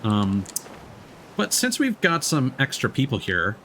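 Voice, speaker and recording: A man's voice: mid-range voice, huge pitch swings, unhurried, bright, forceful tone, slight background noise. A man chatting casually as he hosts a game livestream, rambling and thinking aloud. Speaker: streamer and host. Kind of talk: casual livestream gameplay chat.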